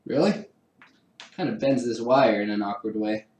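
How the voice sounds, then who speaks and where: A man's voice: lowish voice, normal speed, a little mellow, roomy sound. A man chatting casually with live-stream viewers while assembling a kit, laughing often and poking fun at himself. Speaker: livestreamer building a 3D printer kit. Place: home room with a garage downstairs.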